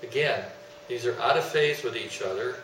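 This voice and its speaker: A man giving an explanatory technical presentation in a deliberate, didactic way, stressing his key terms. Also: medium pitch, fairly bright tone. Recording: roomy, distant mic, faint hum.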